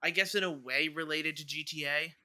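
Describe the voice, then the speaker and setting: A man's voice: fairly high pitch, steady tone, brisk, pushed and bright, clean tone, no echo. A man co-hosting a podcast in casual chat, thinking aloud with hesitant starts and repeated words. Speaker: podcast co-host. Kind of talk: casual chat, debating video game movies.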